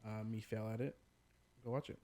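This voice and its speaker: A man's voice: on the low side, flat delivery, brisk, warm tone. Laid-back man rambling casually. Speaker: podcast co-host. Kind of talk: casual gaming chat.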